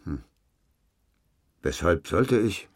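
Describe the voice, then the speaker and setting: A man's voice: deep voice, sing-song, extremely slow, full character voice. A man acting in a German radio drama as a terse, sceptical investigator questioning a witness with a dry manner. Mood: dry, unimpressed. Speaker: actor in a radio play.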